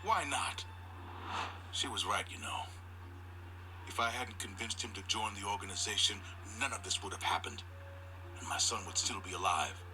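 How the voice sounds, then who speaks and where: A man's voice: lowish voice, normal speed, long pauses, background noise, lightly acted. A man playing a grieving, vengeful father, tormented and desperate, in a dramatic motion comic. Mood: bitter, grieving.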